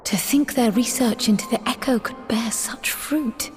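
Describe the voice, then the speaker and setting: A woman's voice: a little high, natural voice. Earnest, formal young-hearted heroine in reverb played by a woman. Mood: pensive, concerned. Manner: measured, formal, reflective. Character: earnest, thoughtful female scholar-adventurer, gently formal. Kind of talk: fantasy game cutscene acting. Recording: long reverb, music behind.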